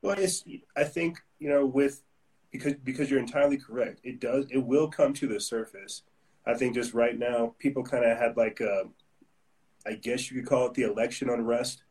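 A man's voice: mid-range voice, steady tone, normal speed, a little mellow, distant mic. Upbeat male podcast host. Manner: rambling, frequent restarts, upbeat. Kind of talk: casual interview.

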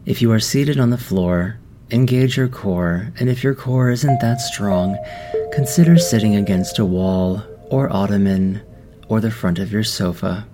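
A man guiding a meditation in a slow, soft, soothing voice with long pauses. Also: on the low side. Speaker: meditation guide. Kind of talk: guided meditation. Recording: music underneath.

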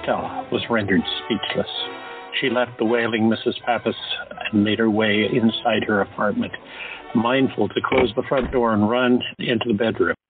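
A man narrates an audio drama with steady, dramatic storytelling. His voice is fairly low. It comes through thin and phone-like, over soft background music. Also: normal speed.

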